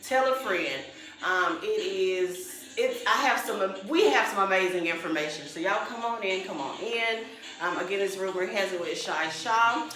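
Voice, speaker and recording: A bubbly, upbeat woman hosts a live podcast in a small studio. Her voice sits at an ordinary middle pitch and rings with a lot of room echo. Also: moderate pace, fairly bright tone. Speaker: podcast host. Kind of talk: casual live-stream chat. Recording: very echoey room.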